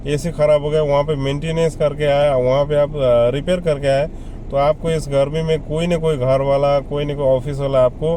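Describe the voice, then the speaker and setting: Male vlogger, slightly high mellow voice, casual and repetitive explainer. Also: a little hiss or hum. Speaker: vlogger giving job advice. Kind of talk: casual explainer addressed to viewers.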